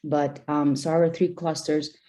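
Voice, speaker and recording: A woman's voice: low-pitched, little pitch movement, unhurried, dark tone. A young woman from Albania, a PhD candidate, presenting research over a video call with many fillers and restarts.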